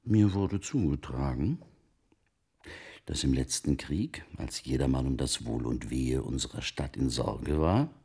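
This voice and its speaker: An actor playing a wealthy, wily merchant of old Italy in a German radio play, speaking formally and deliberately in a gravelly, husky voice. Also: male voice, rumbling low, mellow, heavily acted. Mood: insinuating. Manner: formal archaic phrasing, deliberate storytelling.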